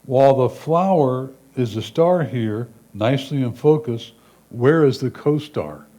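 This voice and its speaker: Mellow-voiced man reading out critiques. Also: mid-range voice, unhurried. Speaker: reader of judge's critiques. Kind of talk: reading written photo critiques aloud.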